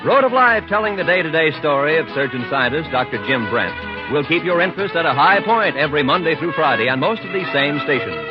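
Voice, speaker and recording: Animated high-pitched male 1950s radio announcer. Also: a little fast. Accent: American. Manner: polished, emphatic, formal. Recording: dull 1950s broadcast, music behind.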